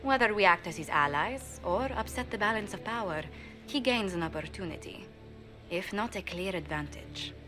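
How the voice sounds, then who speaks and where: An actress playing a poised court diplomat who gives measured, formal warnings in a game cutscene. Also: female voice, medium pitch, lively intonation, music in the background, close to an everyday voice. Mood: earnest. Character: poised, careful female court diplomat, adult. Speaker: diplomatic adviser. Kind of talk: video game cutscene dialogue.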